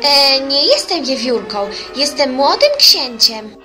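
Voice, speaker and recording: Woman voicing an earnest, high-voiced young fawn prince. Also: very animated, slow pace, bright, forceful tone, exaggerated voice. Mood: insistent. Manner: earnest, determined, childlike. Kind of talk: amateur cartoon fan dub. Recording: music behind the voice.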